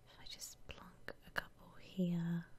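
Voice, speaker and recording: A woman whispering softly and breathily in a gentle, musing way as she gives ASMR commentary on a game. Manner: gentle, unhurried, musing aloud. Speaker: ASMR streamer playing a house-decorating game.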